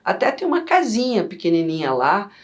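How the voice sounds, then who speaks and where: A middle-aged woman from Yugoslavia, chatting as an interview guest and telling stories in an animated way. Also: medium pitch, a little fast. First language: Serbian. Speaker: guest, vocal coach. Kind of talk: casual interview chat.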